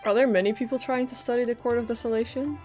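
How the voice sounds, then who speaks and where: A woman's voice: a little high, fairly even intonation, quick pace, darkish tone. A woman live streaming a game, casually reading along and reacting with sarcastic, irreverent quips. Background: game music.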